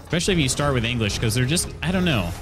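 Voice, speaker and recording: A man's voice: medium pitch, animated, racing, over music. A man in his thirties with an Indiana Midwestern accent, chatting casually while playing and reading chat. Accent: Midwestern American, Indiana. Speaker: streamer. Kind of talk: casual gameplay commentary and chat. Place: small room at home, indoors. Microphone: studio mic on arm near face.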